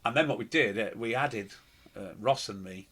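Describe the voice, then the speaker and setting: A man's voice: on the low side, moderate pace, pushed and bright, slightly rough. A middle-aged man, a pantomime performer telling stories in an interview, rambling with fillers and restarts. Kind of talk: casual interview chat.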